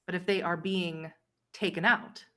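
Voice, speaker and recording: A woman playing a haughty, powerful vampire ruler in a tabletop roleplay, measured and imperious, with deliberate pauses. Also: fairly low pitch, fairly even intonation, bright, forceful tone. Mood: grave. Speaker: game master.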